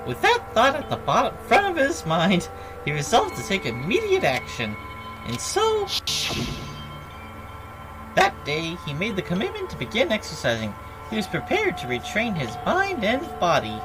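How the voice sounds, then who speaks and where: A woman's voice: mid-range voice, huge pitch swings, over music, rough recording. Woman putting on a swooping, taunting villain bear. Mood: sly storytelling. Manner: theatrical, mocking, drawn-out announcements. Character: gleeful, taunting robotic bear villain, sly storyteller. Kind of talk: dubbing video game dialogue aloud.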